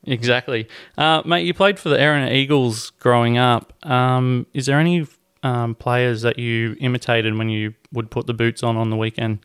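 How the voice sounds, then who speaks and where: A man's voice: mid-range voice, steady tone, moderate pace, few pauses, fairly bright tone, clean tone, no echo, clean studio sound. A man hosting a podcast, asking questions in a friendly, easygoing way. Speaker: podcast host. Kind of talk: casual podcast interview.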